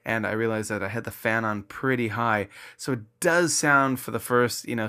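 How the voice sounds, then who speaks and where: A man's voice: fairly low pitch, creaky, pushed and bright. A man from Nova Scotia hosting a casual podcast, upbeat and chatty with many fillers, in a small home studio. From Nova Scotia, Canada. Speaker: podcast host. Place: small home studio room with a floor fan.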